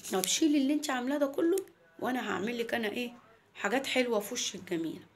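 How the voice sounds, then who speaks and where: A quick, high-voiced storybook mother, played by a woman telling the tale. She is exasperated and brisk, scolding and cajoling her child. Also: lively intonation, soft background music, close to an everyday voice. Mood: coaxing.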